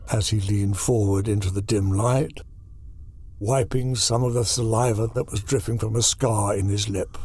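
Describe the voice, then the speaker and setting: A man narrating a horror audiobook in a deliberate, dramatic storytelling style. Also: fairly low pitch, steady tone, a little mellow.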